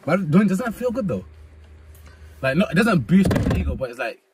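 A young man, chatting casually and jumping in with quick agreement. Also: high-pitched, big pitch swings, a little mellow, slight background noise. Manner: quick interjections, agreeable, London slang. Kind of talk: casual chat. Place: inside a parked car at night. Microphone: phone camera on the dashboard.

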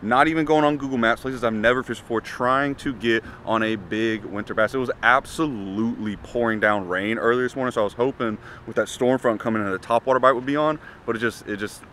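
A young man, talking casually to the camera as he fishes outdoors, rambling and excitable. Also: medium pitch, a little fast, hardly any pauses. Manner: rambling, excitable, talks to himself during fights. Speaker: fishing vlogger. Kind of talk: casual talk to camera while fishing. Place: outdoors at ponds, windy. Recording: loud outdoor wind and water noise.

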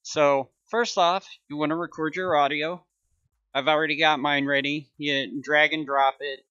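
A man talks through a software tutorial in an informal, upbeat way. His voice is bright and somewhat high. The sound is close and dry, a little lo-fi. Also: level tone, unhurried, dry recording. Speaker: tutorial host.

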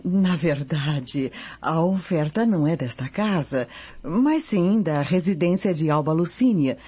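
A woman playing a kind, generous Roman noblewoman in a radio drama, with a soft husky voice. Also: low-pitched, expressive, unhurried, heavily acted. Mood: gentle.

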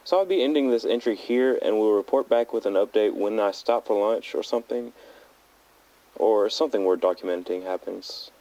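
A young man playing an uneasy teenage backpacker recording an audio diary in a measured, hesitant, understated way. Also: fairly low pitch, mellow, close to an everyday voice. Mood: tired, groggy. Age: young adult. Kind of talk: found-footage audio log narration. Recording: dull lo-fi handheld tape recorder.

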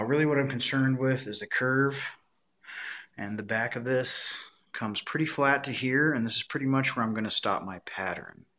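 A man's voice: mid-range voice, sharp tone. A man talking casually and matter-of-factly through a hands-on craft review at a table indoors. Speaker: prop maker reviewing and modifying a costume mask. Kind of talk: casual unboxing and tutorial talk to camera. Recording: clear background noise, handling clicks.

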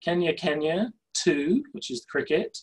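A middle-aged man hosts an online workshop from a home study full of books. His voice is slightly husky. He moves the session along in a brisk, dry way. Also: on the high side, lively intonation, low-quality recording. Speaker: workshop host. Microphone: laptop webcam on video call.

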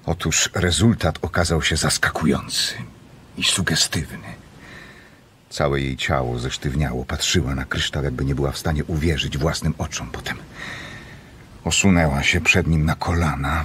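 A very low male voice with a forceful edge, an intense doctor drawn to the occult. He speaks formally and persuasively, as in a radio play, over faint background noise. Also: moderate pace. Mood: intrigued. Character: secretive, intense doctor studying occult visions. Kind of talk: radio drama acting.

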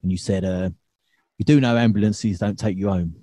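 Podcast host guy, warm dark voice, dry close mic, easygoing. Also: on the low side, fairly even intonation, normal speed, no room sound. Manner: laid-back, laughs along, asks loose rambling questions. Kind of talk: casual interview chat. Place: small home studio with posters. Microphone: studio mic with headphones.

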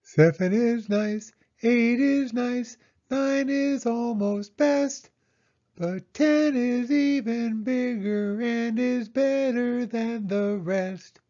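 Man putting on an eager, high little girl mouse. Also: extremely slow, lo-fi sound, full character voice. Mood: singing sweetly. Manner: singsong, excited. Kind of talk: children's storybook reading.

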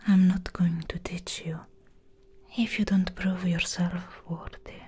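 A young woman playing a commanding, teasing fairy assassin in a whispered ASMR roleplay, slowly giving instructions in a breathy whisper close to the microphone. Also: fairly low pitch, level tone, darkish tone, exaggerated voice. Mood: commanding, testing. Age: young adult. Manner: slow, deliberate, instructing.

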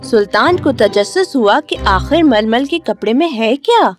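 A woman's voice: on the high side, expressive, soft background music. A woman narrator reading a scripted fairy tale for children.

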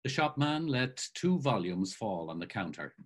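A man in his sixties giving an expressive dramatic reading and commentary over a video call. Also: fairly low pitch, moderate pace. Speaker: actor and reader.

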